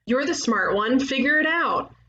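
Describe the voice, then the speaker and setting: Female detective, 30, actress at a table read: rugged, bossy, blunt, higher voice, lo-fi cartoon script recording. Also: lively intonation, normal speed, sharp tone. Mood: annoyed, bossy. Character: headstrong, rugged thirty-year-old detective twin sister. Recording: muffled lo-fi remote microphone, faint noise.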